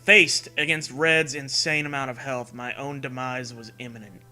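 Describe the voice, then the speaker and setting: A man's voice: mid-range voice, steady tone, moderate pace, bright, forceful tone, soft background music. A man reading a scary story as a narrator, with dramatic, earnest delivery. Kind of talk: dramatic scripted narration of a creepypasta.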